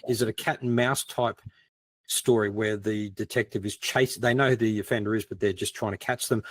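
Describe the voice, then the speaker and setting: A man's voice: on the low side, no echo. A man in a casual panel discussion, explaining things and thinking aloud with plenty of "you know". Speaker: guest, crime fiction writer.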